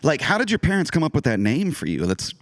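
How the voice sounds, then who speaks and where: A man's voice: on the high side, wildly swooping pitch, quick pace. A man co-hosting a podcast as a director, chatting casually in an enthusiastic, joking way. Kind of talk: casual chat.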